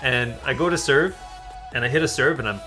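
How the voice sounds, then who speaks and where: Young adult male gaming co-host, mid-low voice, casual rambling anecdotes, amused, faint music behind. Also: brisk, sharp tone. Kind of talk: casual storytelling chat over gameplay. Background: background music.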